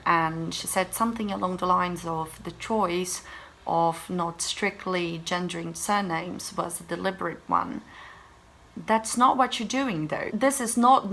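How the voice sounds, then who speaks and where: A woman whose first language is Portuguese talks to camera in a casual book-review vlog. Her voice sits somewhat low, and she leans hard on her points, sounding exasperated and emphatic. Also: fairly bright tone, low-quality recording.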